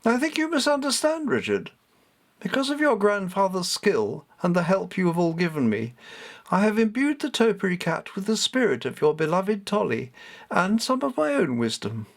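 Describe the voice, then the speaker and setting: A man's voice: high voice, huge pitch swings, moderate pace, voice pushed up, heavily acted. A man voicing a commanding, formal, intimidating yet caring female cat goddess in a storybook reading. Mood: lofty, firm.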